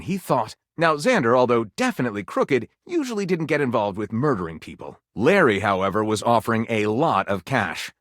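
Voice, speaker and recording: A man's voice: medium pitch, fairly bright tone, no room sound, clean studio sound. A man playing a theatrical, tongue-in-cheek narrator of pulpy detective tales in a video game, arch and savoring every dramatic turn.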